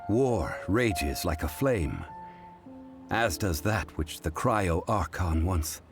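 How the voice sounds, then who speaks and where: A man voicing a learned, composed funeral parlor consultant in a game, speaking in a formal, measured way. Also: sits low, very animated, heavily acted. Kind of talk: game voice acting. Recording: game cutscene with music.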